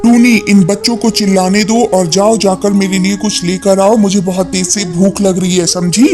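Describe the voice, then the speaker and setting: A mid-pitched voice of a woman acting as a cartoon father bird. He is selfish, demanding and domineering, a household bully. Faint music runs underneath. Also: steady tone, moderate pace, mellow, lightly acted. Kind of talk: Hindi animated moral story dialogue.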